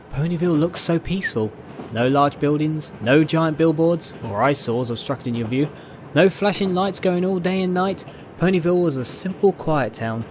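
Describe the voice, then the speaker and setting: A man's voice: on the high side, a little hiss or hum. A man reading a story aloud as a scripted, dramatic narration. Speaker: narrator, fan fiction reader.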